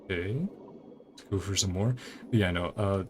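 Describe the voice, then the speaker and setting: A man's voice: low voice, moderate pace, noisy background. A man streaming a video game, giving casual, rambling, laid-back commentary as he plays.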